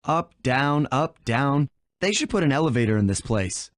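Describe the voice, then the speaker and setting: A man's voice: mid-range voice, lively intonation, moderate pace, no echo, lightly acted. Man playing a wry, cool master thief, dry sound. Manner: wry, laid-back. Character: cool, wisecracking young male master thief. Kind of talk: video game dialogue.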